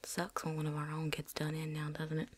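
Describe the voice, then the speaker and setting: A woman's voice: deep voice, completely flat delivery. A woman playing a chatty, cocky, seasoned vampire hunter in a whispered ASMR roleplay, rambling folksily. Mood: sympathetic, frank. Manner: folksy, rambling, with drawn-out asides.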